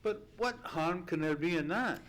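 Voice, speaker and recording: Actor as radio-drama gentleman sidekick: earnest, puzzled, formal, middle register, very varied intonation. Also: mid-range voice, expressive, moderate pace, natural voice. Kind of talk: old-time radio play acting. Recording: live stage radio play recording.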